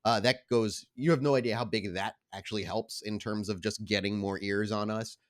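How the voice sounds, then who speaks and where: A man with a fairly low voice hosts a tabletop roleplay podcast. He talks informally and conversationally, giving casual show announcements as the game's storyteller. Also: fairly even intonation, moderate pace.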